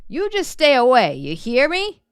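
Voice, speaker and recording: A woman acts out a no-nonsense farm dad from a children's storybook. He is a man of few words, folksy and admonishing, with emphatic swings in pitch and a dry, close sound. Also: wildly swooping pitch, normal speed, smooth, no room sound. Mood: stern warning. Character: stern, practical farmer father, man of few words.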